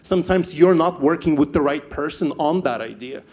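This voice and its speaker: Young man, Ukrainian accent, fairly high voice, lively stage storyteller who restarts a lot. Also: normal speed. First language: not English. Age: young adult. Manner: animated, repeats words and restarts. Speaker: startup founder speaker.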